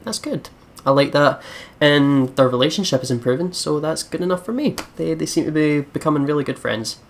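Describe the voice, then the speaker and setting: A let's play host commenting on a game in an animated, informal way, often repeating words. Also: mid-range voice, fairly quick, slight background noise. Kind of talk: game commentary and dramatic read-aloud of narration.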